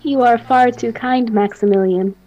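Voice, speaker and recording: A woman's voice: fairly high pitch, normal speed, warm tone, close to an everyday voice. A woman playing an obsessive, imperious mad scientist, formal and theatrical, in an amateur radio play with dull recording. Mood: polite, controlled. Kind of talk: gothic radio play acting. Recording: dull, muffled home recording.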